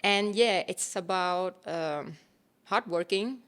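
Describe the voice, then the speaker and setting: A woman in her thirties from Thailand, chatting casually as an interview guest, with relaxed phrasing full of fillers and restarts. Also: lowish voice, slow.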